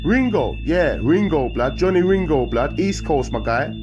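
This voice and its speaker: A man voicing a young male London inmate who shouts brashly through a prison cell window, with London street slang. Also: a little high, expressive, normal speed, dull audio, over music, pitched above the performer's own voice, lightly acted. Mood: shouting, brash.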